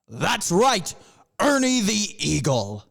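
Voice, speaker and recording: A man's voice: sits high, huge pitch swings, slow, creaky, fairly bright tone, full character voice. A man voicing a pompous panther professor. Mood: lecturing. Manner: lecturing, grandiose, stretched-out phrases. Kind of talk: amateur audio drama.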